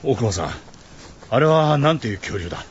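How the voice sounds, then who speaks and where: Man acting a resolute, animated expedition leader. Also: medium pitch, moderate pace, slight background noise, natural voice. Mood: firm. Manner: terse, commanding. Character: resolute, plain-spoken male expedition leader. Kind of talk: Japanese radio drama acting. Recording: dull audio with background music.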